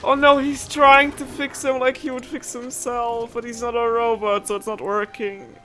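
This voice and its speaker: A woman's voice: a little high, flat delivery, normal speed, fairly bright tone. A young woman doing casual, playful let's play commentary with a dramatic, emotive way of talking. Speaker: gaming streamer. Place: indoors over game audio.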